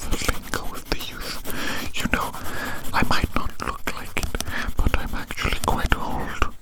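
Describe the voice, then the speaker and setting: A man speaks entirely in a whisper, very close to the microphone. He rambles as an ASMR host giving personal attention, often repeating a phrase and asking the listener teasing questions. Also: a little slow.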